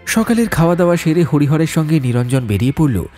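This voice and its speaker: Expressive male Bengali story narrator. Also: on the high side, quick pace. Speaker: audiobook narrator. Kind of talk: dramatic scripted ghost-story narration. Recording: music bed behind narration.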